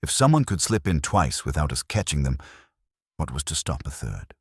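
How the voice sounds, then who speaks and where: Very deep, husky male story narrator. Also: big pitch swings, normal speed, no echo, studio quality. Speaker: audiobook narrator telling the story as a detective's assistant. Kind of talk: first-person scripted detective story narration.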